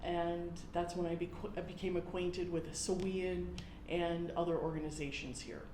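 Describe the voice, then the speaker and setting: Lowish-voiced American woman, roomy sound. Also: little pitch movement, normal speed. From United States. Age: 40s. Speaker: podcast guest, university professor. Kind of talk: conversational interview answers. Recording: roomy echo, faint background noise.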